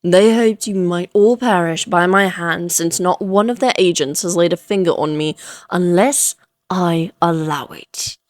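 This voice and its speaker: A woman's voice: fairly low pitch, measured pace, no echo, natural voice. A woman voicing an ancient, amused and self-assured female vampire who taunts the listener in formal, theatrical phrases, in a Victorian fantasy audio roleplay. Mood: taunting, conspiratorial.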